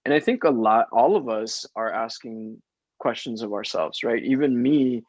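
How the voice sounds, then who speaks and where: A man's voice: medium pitch, normal speed, a little mellow, no echo, lo-fi sound. Man, native Spanish speaker, dry close sound. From Mexican immigrant family. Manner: often ends points with "right?". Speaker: theater professional, guest panelist. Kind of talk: reflective advice in a panel conversation.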